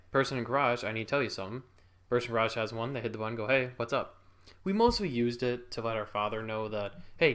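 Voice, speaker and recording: A man's voice: on the low side, fairly bright tone. A young man casually telling a childhood story, rambling and interrupting himself with chuckles.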